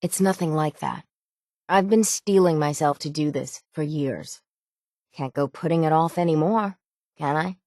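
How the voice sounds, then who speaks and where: A woman's voice: low-pitched, unhurried, darkish tone, dry recording, clean studio sound. An actress playing a stoic, dying island sailor woman in a video game, plainspoken and folksy, weary but stubborn. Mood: stubborn, resolute. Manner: plainspoken, folksy rural islander speech, resigned yet stubborn. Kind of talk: dramatic video game dialogue.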